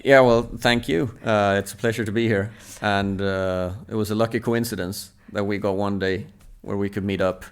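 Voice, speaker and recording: A Swedish man in his thirties, a native Swedish speaker, talking in English as a podcast guest. He speaks in a thoughtful, measured way, with frequent hesitant fillers. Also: lowish voice, fairly even intonation, clear, resonant tone. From Sweden. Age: 30s. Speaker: national team table tennis coach, podcast guest. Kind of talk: reflective casual conversation.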